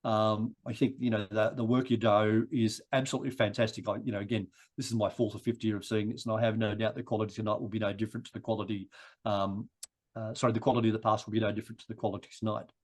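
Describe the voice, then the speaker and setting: A man giving a rambling thank-you speech for a society, his voice croaky from a chest cold. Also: lowish voice, little pitch movement, quick pace. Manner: rambling, many restarts and repeated words.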